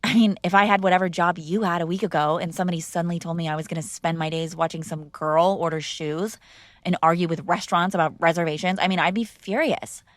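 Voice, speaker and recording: A woman's voice: fairly low pitch, steady tone, fast, no echo, natural voice. A woman playing a mob boss's spoiled, bratty young adult daughter in an intimate roleplay, speaking casually with sarcastic, exasperated argument. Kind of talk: intimate ASMR roleplay acting, one-sided conversation.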